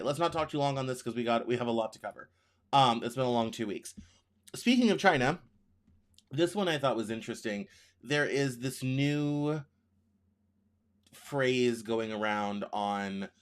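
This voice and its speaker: A man in his thirties, from the United States, hosting a news show as casual commentary, rambling and correcting himself as he thinks aloud. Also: fairly low pitch. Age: 30s.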